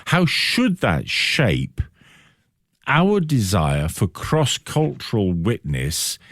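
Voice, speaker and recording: A middle-aged man hosts a radio interview in a fairly low voice that moves with a very lively melody. He asks long, reflective questions with deliberate emphasis. The sound is dry, with little room echo.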